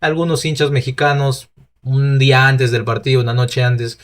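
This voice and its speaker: Peruvian Spanish man, mid-pitched, chatty solo pundit who restarts lines and asks himself questions. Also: flat delivery, moderate pace. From Peru. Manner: talkative, restarts often, rhetorical asides. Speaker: football opinion host. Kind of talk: solo opinion commentary.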